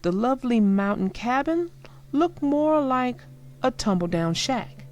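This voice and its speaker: A woman narrating a children's storybook in an expressive, deliberate read-aloud style. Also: on the high side. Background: music.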